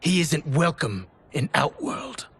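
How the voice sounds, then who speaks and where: An actor playing a menacing, scornful traitor soldier in a video game, with a threatening, taunting delivery. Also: male voice, on the high side, sing-song, slow, a bit bright, slightly rough, exaggerated voice. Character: menacing, scornful male soldier serving a treasonous general. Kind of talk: dramatic video game acting. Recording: music behind.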